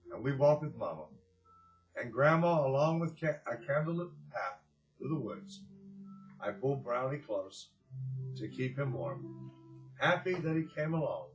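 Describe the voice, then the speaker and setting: A man reading aloud and hosting for children, with expressive, drawn-out phrasing and a gentle, encouraging way of addressing them. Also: mid-range voice, poor audio. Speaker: children's story reader and host.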